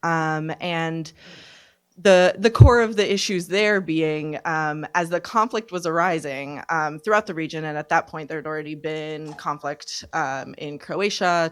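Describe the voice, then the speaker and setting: A woman in her twenties giving personal testimony at a panel discussion, speaking in a halting, deliberate, reflective way. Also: deep voice, smooth. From born in Serbia, raised from infancy in Canada. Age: 20s. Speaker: panelist, refugee survivor. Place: conference event, speaking on a panel.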